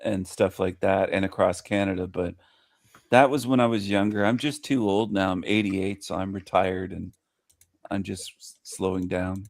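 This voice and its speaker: A man's voice: sits low, fairly even intonation, moderate pace, no room sound, studio quality. A man chatting casually in an online group call, joking and boasting in easy banter. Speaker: regular in an online group chat. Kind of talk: casual chat.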